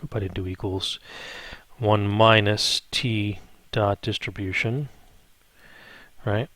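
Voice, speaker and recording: Male math instructor, lowish voice, dry close sound, informal tutorial delivery, thinks out loud and frequently tags on check-in questions. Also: fairly even intonation, slow, dry recording. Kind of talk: improvised step-by-step spreadsheet tutorial.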